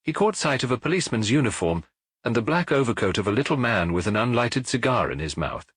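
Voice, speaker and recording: A man giving an expressive scripted narration of a detective story as an audiobook narrator. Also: mid-range voice, fast, breathy edge, no echo.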